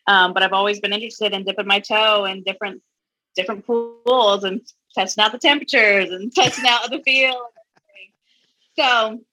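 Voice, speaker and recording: Bright-voiced chatty young woman. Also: medium pitch, brisk, low-quality recording. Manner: chatty, upbeat, laughs often. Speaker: guest, singer. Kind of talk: casual conversation.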